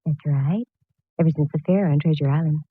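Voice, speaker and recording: An actress playing a wry, quick-witted female private eye in a 1940s radio detective drama, breezy and wisecracking. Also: low voice, husky, no echo. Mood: expectant. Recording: noisy, dull vintage radio recording.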